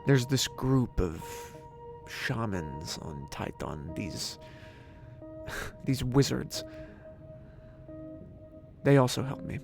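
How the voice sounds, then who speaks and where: Man voicing a gravelly, hesitant inventor. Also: medium pitch, sing-song, drawn-out words, pauses often, over music, full character voice. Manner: hesitant, modest. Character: weary young male inventor narrating his story. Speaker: narrator. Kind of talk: fan audio drama narration.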